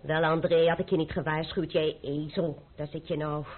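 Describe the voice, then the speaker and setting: A woman's voice: deep voice, little pitch movement, normal speed. A woman in a Dutch radio play voicing a bold young noblewoman posing as a swaggering chevalier, airy and mocking. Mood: smug teasing. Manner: airy, mocking, playfully formal. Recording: muffled phone-like old radio recording.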